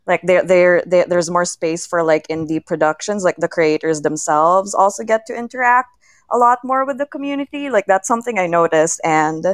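Young woman podcaster, smooth lowish voice, dry sound, earnest casual talk. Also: normal speed, no echo. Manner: earnest, frequent "like" as filler. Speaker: fiction podcast creator and guest. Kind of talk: casual group chat.

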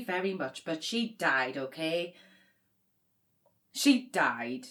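An actress playing a blunt, emotional grieving sister with dark humour in a naturalistic audio drama. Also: female voice, medium pitch. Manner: wry, sweary, dramatic. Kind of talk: naturalistic audio drama acting, comedy and pathos.

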